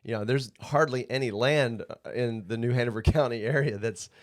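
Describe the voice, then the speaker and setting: A man's voice: medium pitch, normal speed. A man hosting a casual surf podcast in a studio, upbeat and chatty, laughing often. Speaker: podcast host.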